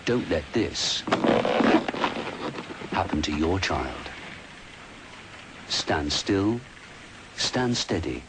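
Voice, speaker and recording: British man, very low voice, slow serious safety narration, noisy old film sound. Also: sing-song. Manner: deliberate, serious.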